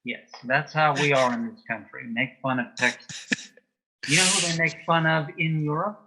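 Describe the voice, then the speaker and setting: A man with a dry, deadpan sense of humour, telling anecdotes and jokes as an interview guest, in a husky voice. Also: a little high, normal speed, a little hiss or hum, low-quality recording. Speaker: interview guest, author and former folklorist.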